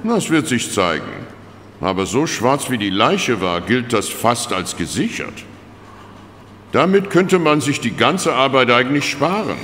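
Grumpy pedantic coroner in a radio play, voice jumps around, echoey room, bit of noise. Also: male voice, medium pitch, big pitch swings, measured pace, fairly bright tone, soft background music. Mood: clinical, reluctant. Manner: pedantic, reluctant, clinical. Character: grudging, fussy male provincial medical examiner. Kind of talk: German audio drama acting. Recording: long hall reverb with background noise.

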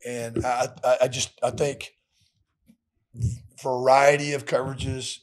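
A man's voice: medium pitch, flat delivery, takes it slowly. Plain-spoken American football coach, middle-aged man. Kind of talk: post-game press conference answers. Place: indoor press room at a podium. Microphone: podium microphones.

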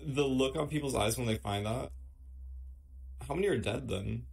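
A man streamer giving casual live commentary on gameplay as he thinks out loud. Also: medium pitch, moderate pace, gravelly.

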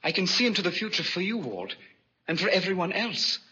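Bright, haunted living dead man. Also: sits high, sing-song, breathy edge, heavily acted. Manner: halting, grave, dramatic. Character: haunted, earnest walking dead man who foresees the future. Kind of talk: 1940s radio drama acting. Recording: muffled, noisy 1940s radio recording.

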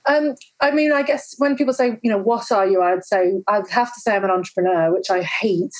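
A woman guest on a podcast, chatty and self-deprecating, answering with lively humor and casual fillers. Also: mid-range voice, brisk, low-quality recording. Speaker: guest, entrepreneur.

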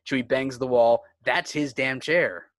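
A man's voice: medium pitch, fairly even intonation, unhurried, a bit bright, dry recording, studio quality. A man reading screenplay stage directions as dramatic scripted narration.

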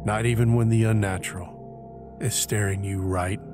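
A man narrating an audio drama in a deliberate, brooding way, pausing between short phrases for dramatic weight. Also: on the low side, steady tone, loud music behind the voice.

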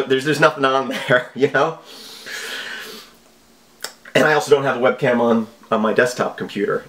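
A man's voice: medium pitch, fairly bright tone. A man in his thirties giving casual, offhand vlog commentary with a wry manner. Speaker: video host. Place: small home office.

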